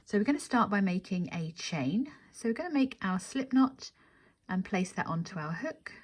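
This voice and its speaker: A woman's voice: medium pitch, animated, moderate pace. Woman giving step-by-step craft instructions. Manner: explanatory, counting steps aloud. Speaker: crochet tutorial host.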